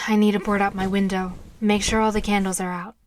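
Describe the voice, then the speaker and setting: A woman's voice: mid-range voice, level tone, a little fast, a little hiss or hum, natural voice. An actress playing an unruffled, weary young witch who reassures her friends, in an audio drama. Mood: sleepy.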